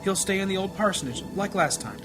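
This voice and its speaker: A man acting a gentle, troubled priest and family man in a fantasy audio drama, earnest, measured and devout. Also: high voice, expressive. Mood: troubled, secretive. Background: music.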